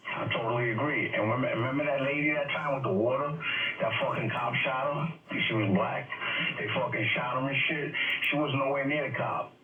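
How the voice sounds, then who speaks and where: A man's voice: mid-range voice, brisk, noisy background. A man talking bluntly over ham radio, swearing and insistent. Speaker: amateur radio operator in a group chat. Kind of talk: casual chat. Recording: narrowband, echoey ham radio transmission.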